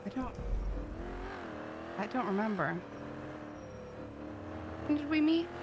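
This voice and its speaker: Woman, chatty game role-player, very lively intonation, clear car noise behind the voice. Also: fairly high pitch, slow pace. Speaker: role-play gamer voicing a car passenger. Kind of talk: improvised in-character casual chat.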